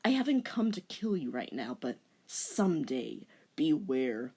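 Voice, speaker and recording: A woman's voice: deep voice, very animated, unhurried, warm tone, husky, heavily acted. Woman doing a menacing, boastful villain father. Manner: ominous, boastful.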